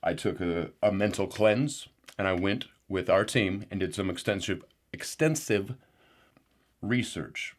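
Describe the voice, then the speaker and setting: A man playing an excitable, paranoid male conspiracy pundit who speaks with indignant outrage. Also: unhurried. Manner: indignant, excitable, outbursts of rising pitch. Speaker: show regular. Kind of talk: comedic parody show.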